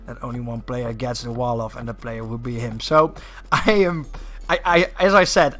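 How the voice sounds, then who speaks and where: Bright-voiced man casting a game tournament. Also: medium pitch. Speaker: esports tournament commentator and host. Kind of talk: live game commentary.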